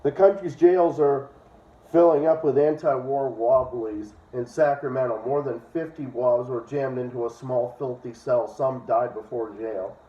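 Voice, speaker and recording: A man's voice: mid-range voice, a little slow, warm tone. A man casually reading a book aloud and breaking into blunt, profane, opinionated commentary. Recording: dull, echoey room, distant from mic.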